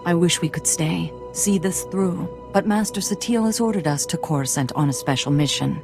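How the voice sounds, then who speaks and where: A composed female Jedi master in a sci-fi game, with a noticeably creaky voice. She speaks formally and gravely, like a mentor to young apprentices, over clear music. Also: on the low side. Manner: measured, formal. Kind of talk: video game voice acting.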